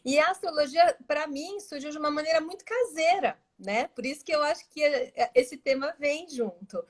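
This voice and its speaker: A woman with a São Paulo Brazilian accent, a guest astrologer chatting warmly on a live stream and laughing often, speaking into her device's built-in mic at home. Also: high voice, normal speed. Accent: São Paulo Brazilian Portuguese. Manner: warm, animated, laughs often between phrases. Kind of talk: casual live-stream chat. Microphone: device's built-in mic, no headset.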